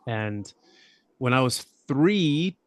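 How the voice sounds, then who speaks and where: A young man from Australia, a podcast guest, talking in a relaxed, thoughtful way with lots of fillers and hedges. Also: medium pitch, extremely slow. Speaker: podcast guest, app founder. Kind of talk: casual interview conversation.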